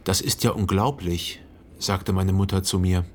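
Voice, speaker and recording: A man playing a reflective son who narrates his memories in a radio drama, with a husky voice and measured, contemplative delivery. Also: low-pitched, fairly even intonation, music in the background. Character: reflective literary narrator, a grown son remembering.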